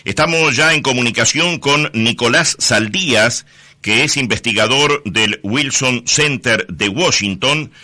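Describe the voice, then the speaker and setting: A man's voice: medium pitch, a little slow, bright, forceful tone. A man hosting a radio interview, formal and full of hesitant fillers. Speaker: radio host. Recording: radio broadcast audio.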